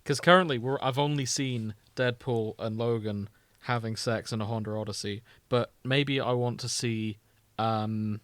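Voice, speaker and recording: A man with a medium-pitched voice talks casually as a podcast co-host. The sound is dry and close, with an informal conversational flow. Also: steady tone, moderate pace, hardly any pauses, a bit bright, no echo. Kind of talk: casual chat about fandom.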